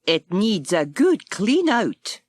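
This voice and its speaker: A woman's voice: mid-range voice, sing-song, slow pace, no echo. A woman voicing a fussy, house-proud village lady in a children's storybook. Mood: disapproving.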